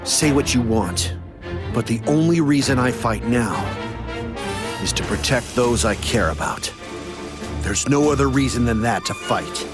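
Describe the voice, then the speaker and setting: A man voicing an earnest, protective young hero in an anime game, serious, measured and firm. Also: medium pitch, expressive, lightly acted. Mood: resolute. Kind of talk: dramatic anime game voice acting. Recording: music behind the voice.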